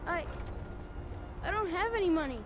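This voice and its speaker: A woman's voice: extremely high pitch, expressive, moderate pace, noisy background. A woman voicing a timid, picked-on little boy in a video game, meek and childlike. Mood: frightened, pleading. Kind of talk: video game character dialogue.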